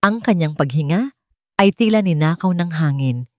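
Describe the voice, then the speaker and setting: A woman's voice: on the low side, lively intonation, normal speed, a little mellow, no echo, studio quality. A woman with a Filipino accent reading a scripted dramatic story as its narrator.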